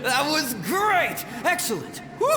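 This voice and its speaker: A man's voice: very high voice, very animated, full character voice. A man voicing an exultant, boisterous swordsman spirit in a video game, with a ghostly reverb on his voice. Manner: boisterous, laughing, drawn-out delivery. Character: exultant, battle-hungry male swordsman spirit. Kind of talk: video game character acting. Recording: long echoey reverb, some noise.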